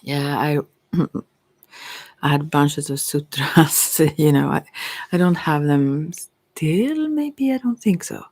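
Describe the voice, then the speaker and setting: A middle-aged woman with a Swedish accent, speaking softly and intimately in an unscripted, reflective ASMR monologue that rambles and trails off. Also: low-pitched, animated, slow pace, dry recording. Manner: rambling, trailing off mid-thought. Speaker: ASMR creator and tarot reader.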